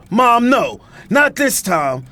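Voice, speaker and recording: High-pitched, wounded, defiant grown son acted by a man. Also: big pitch swings, drawn-out words, sharp tone, heavily acted. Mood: sarcastic, defiant. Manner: sarcastic, dramatic, slangy. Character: angry, wounded grown son defying his powerful family. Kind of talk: audio drama acting.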